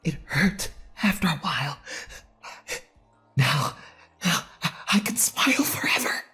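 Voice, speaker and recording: An actor playing a deranged, menacing boy killer with a halting, childlike delivery in a horror audio drama. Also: fairly high pitch, sing-song, pushed and bright, husky, faint music behind, heavily acted. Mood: unhinged, pained glee.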